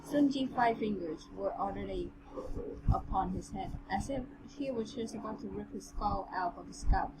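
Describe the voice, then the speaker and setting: A woman co-hosting a reading podcast, speaking casually and a little haltingly as she chats and reads aloud. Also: mid-range voice. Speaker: podcast co-host and reader. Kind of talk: casual chat and amateur read-aloud. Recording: clearly noisy home recording.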